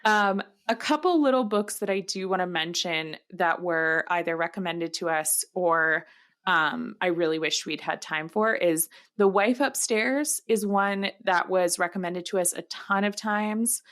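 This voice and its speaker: A woman's voice: lowish voice, a little slow, a bit bright. A woman co-hosting a podcast, chatting casually about books in a conversational style. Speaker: podcast co-host. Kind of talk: casual book chat.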